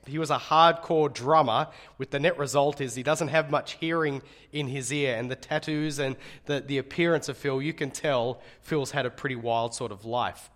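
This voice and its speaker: A middle-aged man with a slightly raspy voice giving a conversational sermon, speaking earnestly and stressing key phrases. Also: medium pitch. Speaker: guest preacher and Bible college teacher.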